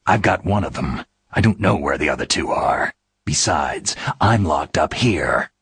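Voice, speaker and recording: An actor playing a gruff, world-weary special-forces soldier in a video game, his voice raspy and gravelly. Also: a bass voice, animated, measured pace, fairly bright tone, no echo, exaggerated voice. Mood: grim, matter-of-fact. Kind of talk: dramatic video game voice acting.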